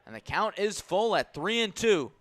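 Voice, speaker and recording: A man's voice: sits high, very animated, moderate pace, fairly bright tone, dry recording. A man calling baseball play-by-play for radio in clipped, punchy phrases, close on a broadcast mic. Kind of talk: live sports play-by-play commentary.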